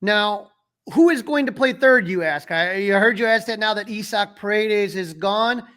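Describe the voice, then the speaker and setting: A man's voice: high-pitched, moderate pace, bright, forceful tone. A man co-hosting a sports podcast, giving casual but emphatic, opinionated analysis.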